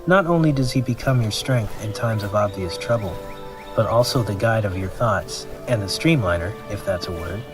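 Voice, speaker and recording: A man's voice: lowish voice, natural voice. A man playing a patient, pious mentor who speaks formally and instructively in an amateur audio drama. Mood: solemn, instructive. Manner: measured, formal, preachy. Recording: clear music bed under dialogue.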